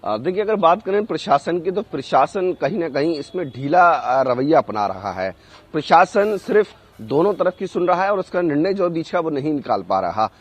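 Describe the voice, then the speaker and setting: A male news correspondent reports live. His voice is somewhat high for a man, and he speaks haltingly, often pausing to find the next word. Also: sing-song, slightly rough. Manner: halting, searches for words with frequent hesitations.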